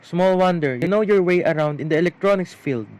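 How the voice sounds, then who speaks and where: A man's voice: sits high, a little fast, darkish tone, dry recording, natural voice, rough recording. A man playing a grumbling but appreciative tinkerer father in an amateur radio play. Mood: impressed.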